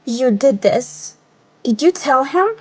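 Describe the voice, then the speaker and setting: A woman's voice: sits high, expressive, slow, darkish tone, husky, exaggerated voice. A woman playing a lovesick, petulant younger sister in an audio drama, whiny in manner. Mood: upset, accusing.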